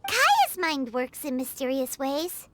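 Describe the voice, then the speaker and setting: A woman voicing a tiny, excitable, childlike fairy sidekick in a video game, whiny and dramatic, talking about herself in third person. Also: sits high, takes it slowly, no echo, exaggerated voice. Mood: chatty. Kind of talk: video game cutscene acting.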